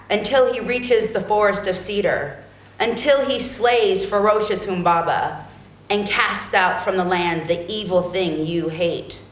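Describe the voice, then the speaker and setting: A woman's voice: mid-range voice, close to an everyday voice. A woman playing a solemn mother queen in a dramatic epic reading, with an incantatory, declamatory delivery. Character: solemn mother queen praying for her son. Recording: roomy, echoing recording.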